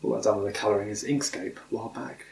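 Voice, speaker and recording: A man's voice: lowish voice, racing, gravelly, roomy sound, slight background noise. Chatty British man hosting at home. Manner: relaxed, chuckling, chatty. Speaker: channel host. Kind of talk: casual chat. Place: home living room sofa.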